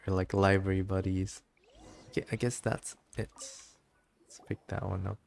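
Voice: low-pitched, little pitch movement, measured pace, creaky, a little mellow, a little hiss or hum. A streamer giving casual, chatty commentary on a game and reading its dialogue aloud.

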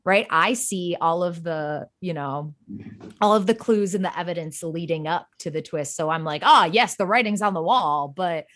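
A woman's voice: on the low side, normal speed, a bit bright. A woman speaking casually as a podcast guest, talking as a writer would in an interview.

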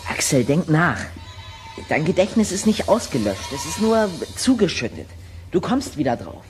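A man's voice: on the high side, big pitch swings, normal speed, a little mellow, soft background music. An actor playing a curious, cheeky, articulate boy detective in a children's radio play. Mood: confident. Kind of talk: German audio drama acting. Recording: clearly noisy background.

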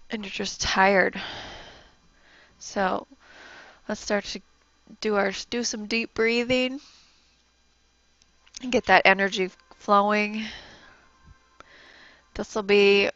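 A soft-spoken young woman, a yoga instructor, giving gentle, encouraging instructions and breathing cues. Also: medium pitch, no echo, dull audio. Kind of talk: guided yoga class instruction.

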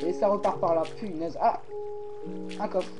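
A man's voice: high voice, fairly even intonation. A young man from France chatting casually while playing a game, leading the way and fretting about monsters. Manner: quick, guiding, nervous about monsters. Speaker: gamer, co-host of a Minecraft video. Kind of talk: casual gaming chat. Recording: game music behind.

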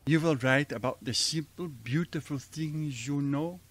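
An actor playing a gentle, earnest older professor with a European accent and halting, formal speech in a radio drama. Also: male voice, fairly high pitch. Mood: fervent, encouraging. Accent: foreign European. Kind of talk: old-time radio drama acting.

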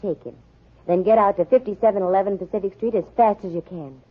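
A woman's voice: mid-range voice, fast, husky, close to an everyday voice. An actress playing a dry, wisecracking female private investigator in a 1940s radio drama. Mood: brisk, wry. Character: wry, self-possessed female private investigator. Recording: muffled, thin 1940s radio recording.

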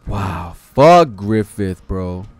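Young american guy doing manga reactions, super lively pitch, swears a lot, bit of music behind him. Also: extremely slow, no room sound. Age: young adult. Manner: profane, slangy, expressive. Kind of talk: casual live readalong commentary with slangy banter. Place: cluttered room at home. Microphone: desktop microphone close in front.

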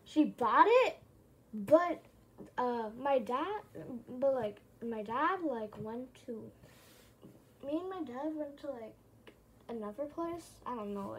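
High-pitched young woman, slow rambling chat. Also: poor audio. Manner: hesitant, often repeating phrases. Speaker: vlogger showing a plush toy collection.

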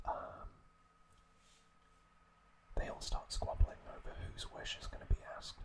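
A man whispering breathily and close to the microphone as an ASMR host, recounting in a hushed, halting way. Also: background noise. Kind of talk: whispered ASMR recap narration.

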